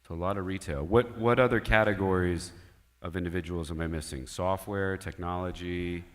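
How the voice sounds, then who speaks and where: A man with a low voice leads a panel discussion at a conference. He talks in a measured way and keeps asking the audience and panelists questions. His voice rings out with the long reverb of a large hall. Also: fairly even intonation. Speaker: panel moderator.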